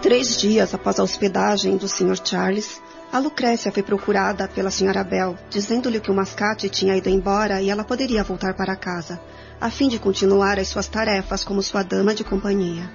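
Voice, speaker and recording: A woman narrates a scripted radio drama in a husky, creaky voice. The recording is dull, with faint music behind her. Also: mid-range voice, fairly even intonation, a little fast.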